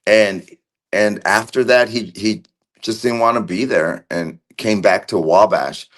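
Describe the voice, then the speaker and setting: A man with a low voice speaks haltingly on a video call, often trailing off and starting over with fillers. He is of Argentine background and talks as a guest recalling past events in a casual, searching way. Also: a bit bright. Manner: halting, with many fillers and restarts. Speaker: interview guest. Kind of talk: casual recollection.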